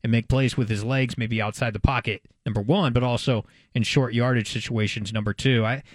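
A man with an American accent and a mid-pitched voice discusses sports as a talk host. He reasons aloud in a casual, conversational style. The recording is very dry, free of room sound. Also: fairly even intonation, fairly quick, no room sound.

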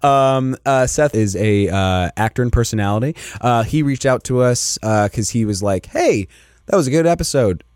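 A young man from Pennsylvania co-hosting a casual podcast, animated and laughing, with lots of fillers. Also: medium pitch, normal speed, clean tone, no room sound, studio quality. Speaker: podcast co-host.

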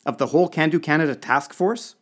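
A man's voice: on the high side, a little fast, pitched above the performer's own voice, natural voice. A man voicing an indignant, flustered trade commissioner in an audiobook, exclaiming and protesting. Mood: aghast.

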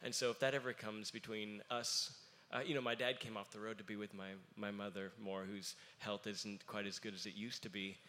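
Young guy with a lowish voice, earnest, lots of ums, talking about his family band. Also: little pitch movement, bright, forceful tone. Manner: earnest, halting with many fillers. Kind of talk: casual panel talk.

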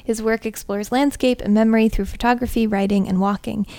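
A woman's voice: on the high side, fairly even intonation, a little fast, warm tone. A woman co-hosting a podcast in a casual style. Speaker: podcast co-host.

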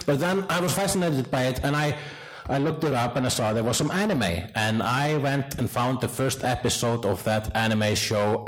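Icelandic-native male podcast host, mid-pitched, rambling comic storyteller. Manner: rambling, joking, laughing storyteller. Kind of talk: live podcast chat with audience questions. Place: live event stage.

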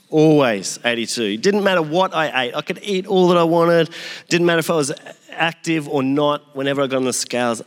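A man's voice: a little high, a bit bright. A man in his forties giving a sermon as pastor, opening with prayer and telling self-deprecating stories in a conversational way. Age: 40s. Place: church hall. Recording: long hall reverb.

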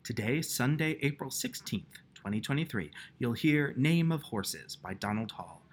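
A man's voice: mid-range voice, expressive, normal speed. A man hosting a podcast, reading a scripted introduction and credits. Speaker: podcast host. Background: music.

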